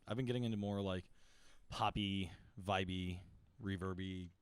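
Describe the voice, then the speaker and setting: A man's voice: sits low, fairly even intonation, normal speed, smooth, no room sound. A young man hosting a podcast in a laid-back casual chat, swearing often and saying "like" a lot. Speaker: podcast host.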